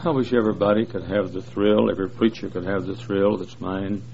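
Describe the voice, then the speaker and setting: Low-voiced man in his sixties preaching. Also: steady tone, a little fast, vocal fry, mellow. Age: 60s. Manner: folksy, rambling, with many "uh" fillers and jokes. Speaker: visiting preacher. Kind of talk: joking sermon introduction to a large congregation. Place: large church. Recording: dull lo-fi recording with faint noise.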